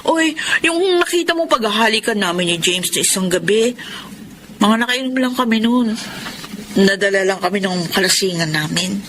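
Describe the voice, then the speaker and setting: A woman's voice: medium pitch, normal speed, fairly bright tone, slight background noise, close to an everyday voice. A woman with a Filipino accent acting a brazen, glib, defensive mistress in a radio drama. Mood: casual, glib.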